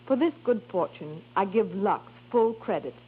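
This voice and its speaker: On a tinny old radio recording, an actress plays a grateful mother. Her husky voice gives a scripted testimonial in an earnest, careful manner. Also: mid-range voice, expressive, moderate pace.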